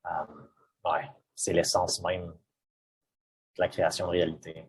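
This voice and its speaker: A man's voice: low-pitched, little pitch movement, slow pace, husky, no room sound, rough recording. A man with a Québécois French accent, a guest speaking reflectively in casual conversation, with frequent hesitant restarts.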